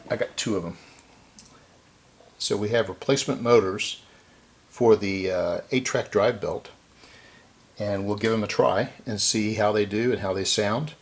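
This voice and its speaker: A man's voice: fairly low pitch, measured pace, pauses often, a little hiss or hum. A man giving a casual hands-on repair walkthrough, unscripted and thinking aloud as he works. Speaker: repair channel host.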